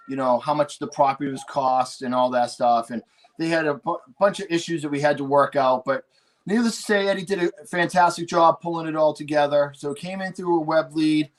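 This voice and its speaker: A man with a Boston accent, telling a story as a guest in a chatty, upbeat way with lots of fillers. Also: on the high side. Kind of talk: casual storytelling.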